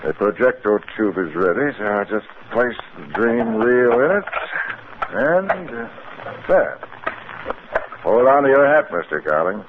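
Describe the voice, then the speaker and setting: An actor playing a businesslike research doctor in a 1950s radio drama, explaining things matter-of-factly. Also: male voice, mid-range voice, big pitch swings, unhurried. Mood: explanatory. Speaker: psychiatric doctor. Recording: narrow-band old radio recording, faint noise.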